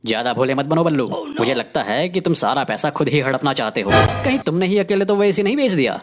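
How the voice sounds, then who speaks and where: A woman voicing a greedy, excitable male farm labourer who exclaims a lot, in a cartoon story. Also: low voice, quick pace, pitched below the performer's own voice. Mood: accusing. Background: music.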